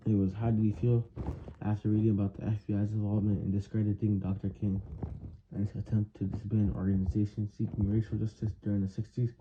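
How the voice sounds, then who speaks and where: Young male student, low voice, earnest read-aloud of written answers with small stumbles, muffled audio with some hiss. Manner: earnest, stumbles over words while reading.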